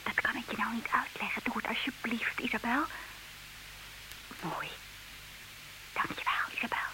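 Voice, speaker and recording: A woman's voice: on the high side, animated, normal speed, in a whisper, close to an everyday voice. A woman acting in a comedic radio play as a frank, romantic, politely argumentative woman, speaking in an articulate, theatrical way. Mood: hushed, pleading. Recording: noisy, hissy old radio recording.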